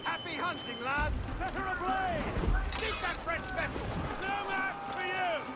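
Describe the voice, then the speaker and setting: A man playing a rough, menacing and gleeful fighting sailor in a video game, yelling with strain. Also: extremely high pitch, a little slow, loud music behind the voice. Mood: gleeful battle cries. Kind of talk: video game cutscene acting.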